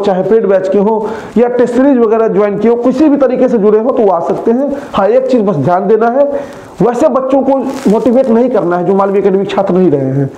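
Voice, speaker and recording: Young male teacher, high mellow voice, chatty, talks straight to students, roomy dull recording. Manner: chatty, addresses students directly. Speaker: coaching teacher. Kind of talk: casual announcement to students. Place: indoor studio in front of a screen.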